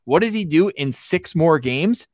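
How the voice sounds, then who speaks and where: A man's voice: a little high, lively intonation, unhurried, dry recording, clean studio sound. A man hosting a sports podcast, talking through hockey stats conversationally and leaning on the numbers for emphasis. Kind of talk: lively hockey talk with stats.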